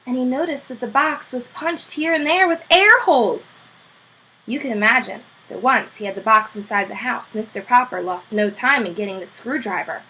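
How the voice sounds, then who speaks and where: A woman's voice: on the high side, normal speed, husky, rough recording. A woman teacher reading a children's chapter book aloud, telling it expressively. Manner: expressive, animated storytelling.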